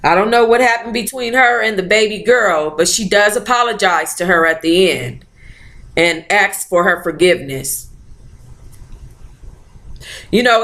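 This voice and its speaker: A woman hosting a book club, reading a memoir aloud and chatting in a sassy, informal, expressive way. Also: lowish voice. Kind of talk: audiobook-style reading with casual, chatty asides.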